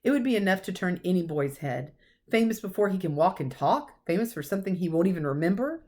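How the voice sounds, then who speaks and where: A wise old wizard headmaster from a storybook, read out by a woman. He is calm and assured, grave yet kindly in how he speaks. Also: lowish voice, fast talker, close to an everyday voice.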